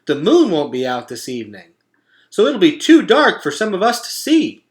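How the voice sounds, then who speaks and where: A man's voice: high voice, wildly swooping pitch, moderate pace, voice pushed up. A man voicing a doubtful male beaver who objects emphatically. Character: male beaver, beady-eyed doubter pointing out problems.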